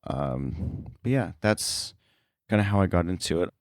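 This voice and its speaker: Deep-voiced man chatting, dry close sound. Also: animated, a little slow, dry recording. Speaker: podcast guest, sound effects editor. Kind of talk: casual interview conversation.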